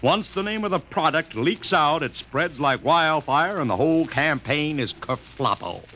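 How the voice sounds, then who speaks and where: An actor playing a brisk, wisecracking advertising boss in a radio drama, with breezy, slangy, wry delivery. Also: male voice, fairly high pitch, lively intonation, pushed and bright. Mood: breezy, confident. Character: brisk, wisecracking, money-minded male advertising agency boss. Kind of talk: old-time radio drama acting. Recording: noisy old radio recording.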